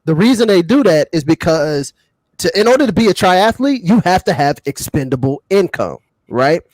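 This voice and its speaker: Man, podcast co-host, lively swooping intonation, slangy and argumentative, close dry sound. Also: high-pitched, normal speed, dry recording, low-quality recording. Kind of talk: casual panel chat.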